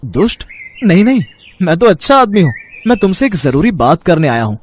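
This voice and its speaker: A man's voice: sits high, very animated, a little fast, a little hiss or hum. A man playing a wicked, lovesick, scheming snake charmer in a cartoon, with a melodramatic manner. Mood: eager. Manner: melodramatic, pleading and gloating.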